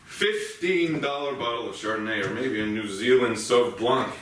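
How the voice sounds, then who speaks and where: An actor playing an aggressive, embittered police officer in a staged reading, sneering and confrontational. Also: male voice, medium pitch, normal speed, a bit bright, natural voice. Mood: sneering, menacing. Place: theatre stage, recorded from a distance. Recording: distant mic, echoey theatre, clear noise.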